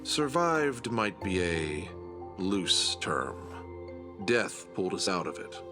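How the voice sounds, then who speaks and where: A wary male adventurer, speaks in a low voice with a dry, ominous edge. It is fantasy audio drama, with clear background music under the words. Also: sing-song, takes it slowly, heavily acted. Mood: grave, dry.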